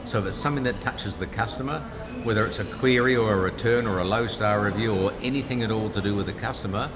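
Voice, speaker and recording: A middle-aged man with a New Zealand accent, a business founder making an upbeat, punchy pitch in a casual interview. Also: fast, a crowd in the background. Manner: upbeat, punchy salesman delivery. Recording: background music, trade fair.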